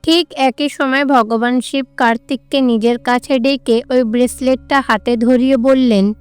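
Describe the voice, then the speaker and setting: Female storyteller, high-pitched, clear, scripted fairy tale narration, soft music behind. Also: fairly even intonation, measured pace, dry recording.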